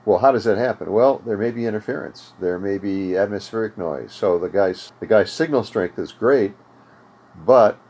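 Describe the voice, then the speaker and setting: An older man with an American accent giving an informal instructional talk, in halting, measured explanations with pauses. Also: sits low, a little mellow. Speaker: host of amateur radio tutorial series. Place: home radio room.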